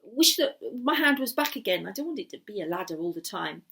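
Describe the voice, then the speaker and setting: A woman voicing a kind young girl in a folk tale, speaking simply and gently. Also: medium pitch, big pitch swings, a little fast, creaky, heavily acted. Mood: earnest.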